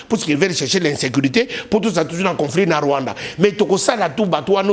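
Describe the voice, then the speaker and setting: A man with a Congolese accent arguing politics in a heated debate, emphatically repeating his phrases. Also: fairly high pitch. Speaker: political commentator being interviewed. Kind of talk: heated political debate, mixing two languages.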